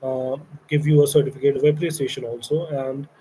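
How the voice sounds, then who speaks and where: A man's voice: mid-range voice, little pitch movement, a little fast, mellow, slight background noise, low-quality recording. A middle-aged man from India with an Indian English accent, a company founder giving a meandering orientation talk to interns with frequent fillers.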